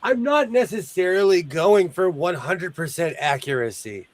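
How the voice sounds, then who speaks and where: A man with a fairly high voice rambles through a relaxed sewing-room chat. He is playful and self-mocking, and he often breaks off a thought before he finishes it. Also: moderate pace, dry recording. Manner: rambling, jokey, trailing off mid-sentence. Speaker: sewing show host. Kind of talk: casual chat while sewing.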